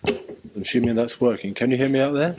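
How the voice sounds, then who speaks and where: A man in his sixties, a former footballer, giving personal testimony at a forum. He is soft-spoken and hesitant, with many fillers, and leans in to a microphone. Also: medium pitch, a little fast, noisy background. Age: 60s. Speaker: panel speaker, former footballer. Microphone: speaking into a microphone on stage.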